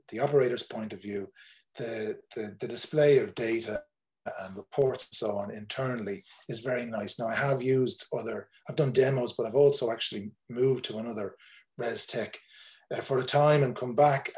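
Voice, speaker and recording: A man's voice: medium pitch, a little mellow. A man in his forties with an Irish accent, a tour operator talking casually on a video call, rambling with many fillers and restarts. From Ireland. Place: home room, indoors.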